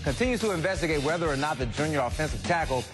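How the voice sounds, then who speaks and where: Higher-pitched American male sports anchor. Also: animated, very fast, over music. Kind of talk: scripted local sports newscast delivery. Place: TV studio.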